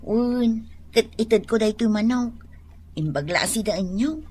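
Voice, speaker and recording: An actress playing an excitable village neighbour who exclaims animatedly in a radio drama. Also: female voice, fairly high pitch, a little slow, husky. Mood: sheepish. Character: excitable village neighbour woman caught pilfering vegetables. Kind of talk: Filipino radio drama acting.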